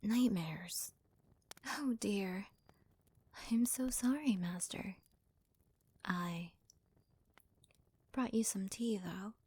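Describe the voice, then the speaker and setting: A woman playing a devoted, deferential servant in an ASMR roleplay, with a soft, breathy, half-whispered voice. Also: mid-range voice, very slow, pauses often. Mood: concerned, soothing. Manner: gentle, deferential, hesitant. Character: devoted, doting young female servant to her master.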